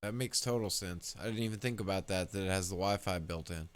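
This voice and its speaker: A man's voice: sits low, fairly quick, clean tone. A man hosting a casual livestream interview, relaying questions from viewers in an informal conversational way.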